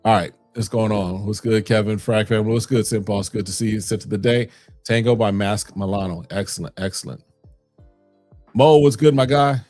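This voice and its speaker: A man with a fairly low voice hosts a relaxed livestream, greeting viewers and answering their questions off the cuff. His talk is loose and full of fillers and restarts. Faint music plays quietly underneath. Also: normal speed. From Chicago, South Side. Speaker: fragrance livestream host. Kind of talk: casual livestream chat reading comments.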